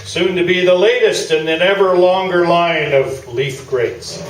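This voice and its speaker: A man's voice: high-pitched, a little slow. A man playing a pompous, self-satisfied newspaper sports columnist in a live staged radio play, talking in grandiloquent phrases. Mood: proud, grandiose. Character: pompous, boosterish male newspaper sports columnist, staunchly loyal. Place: small theatre stage, indoors. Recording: roomy, distant live theatre video, noisy.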